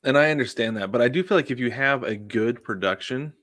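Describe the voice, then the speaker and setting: A man's voice: medium pitch, brisk, fairly bright tone, no room sound, clean studio sound. A man co-hosting a sports podcast in casual chat, informal and anecdotal, with plenty of conversational filler. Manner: informal, anecdotal, frequent "like" and "you know". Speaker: podcast co-host.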